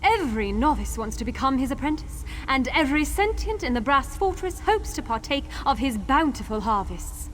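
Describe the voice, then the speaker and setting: Game role: wry, well-informed female guide acted by a woman, fairly high voice, light background noise. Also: sing-song, normal speed, few pauses, fairly bright tone. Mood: informative, respectful. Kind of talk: scripted game dialogue.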